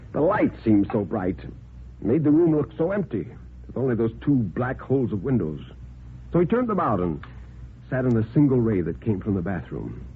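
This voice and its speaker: A man narrating a story as a 1950s radio storyteller, with theatrical, suspenseful, deliberate phrasing. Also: mid-range voice, a little hiss or hum. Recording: tinny band-limited vintage radio recording.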